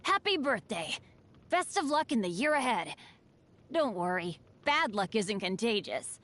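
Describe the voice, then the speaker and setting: An eager teenage boy adventurer from a video game, with a high, boyish voice. He talks casually and earnestly, swinging freely up and down in pitch, humble and cheerful about his rotten luck. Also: big pitch swings, a little slow, a bit bright. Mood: reassuring. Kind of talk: video game character voice lines.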